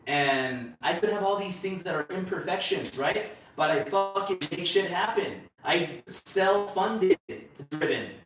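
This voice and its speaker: Brief: man, Mexican accent, slightly high voice, blunt and boastful livestream host, echoey room, off-mic. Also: measured pace, a bit bright, recorded from a distance, faint music behind. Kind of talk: casual livestream pitch. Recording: roomy echo, away from the mic.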